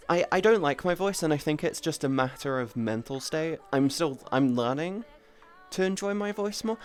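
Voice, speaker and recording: Casual woman live streamer, music behind. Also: very deep voice. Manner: informal, sweary rambling. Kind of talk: casual chat with stream viewers. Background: background music.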